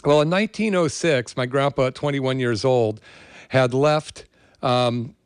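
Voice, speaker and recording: A man's voice: medium pitch, a little slow, clean tone, no room sound, studio quality. An older man with an American accent, hosting a show in a casual, rambling monologue with personal storytelling. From California, USA. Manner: rambling, conversational. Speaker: show host.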